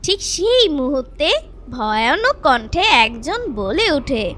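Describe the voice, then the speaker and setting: A woman narrating a scripted children's story in a dramatic, expressive way, with music behind her. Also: high-pitched, unhurried, slight background noise.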